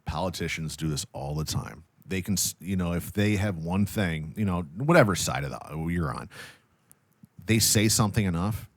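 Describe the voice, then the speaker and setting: Deep-voiced man talking casually, dry sound. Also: expressive, normal speed, no room sound. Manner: explanatory, emphatic repetition, profane. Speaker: podcast guest with radio background. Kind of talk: casual podcast conversation.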